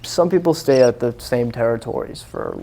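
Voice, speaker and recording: A man who grew up in Singapore answers interview questions in an earnest, meandering way. His pitch sits in a mid range, and his sentences trail off and restart, padded with small fillers. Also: wildly swooping pitch, normal speed, vocal fry, mellow. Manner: earnest, rambling, with many fillers. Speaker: guest. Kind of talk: casual interview chat.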